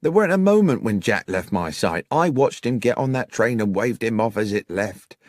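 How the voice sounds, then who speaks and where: Male narrator playing older village publican, British, rustic, plain-spoken, worried, expressive pitch, dry sound, storybook drama. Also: normal speed, no echo, natural voice. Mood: insistent, anxious.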